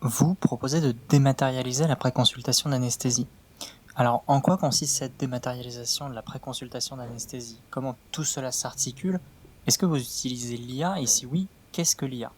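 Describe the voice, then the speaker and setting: A man's voice: mid-range voice, fairly even intonation, fairly quick, slight background noise. A man hosting a panel interview, introducing guests and putting questions to them. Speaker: interviewer, host.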